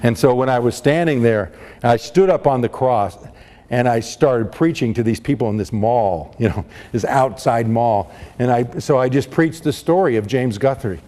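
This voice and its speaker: An impassioned male preacher telling a story to a congregation, with a middle-pitched voice that climbs high and loud at dramatic quotes. A long reverb from a large hall trails after his words. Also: moderate pace. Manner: impassioned, rising to loud peaks when quoting martyrs. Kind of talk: storytelling sermon.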